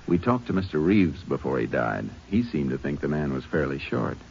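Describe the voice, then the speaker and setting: An actor playing a calm, steady Texas Ranger who patiently questions a witness in a 1950s radio drama. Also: male voice, extremely low pitch, normal speed, vocal fry, full character voice. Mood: steady questioning. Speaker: narrator and lead. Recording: dull old radio broadcast with faint hiss.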